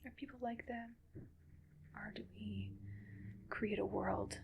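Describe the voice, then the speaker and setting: A woman playing a gentle, weary cyborg soldier in a whispered ASMR roleplay, speaking breathily in slow, halting, soothing phrases. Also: medium pitch, big pitch swings, gravelly, background noise, heavily acted. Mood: weary, pessimistic.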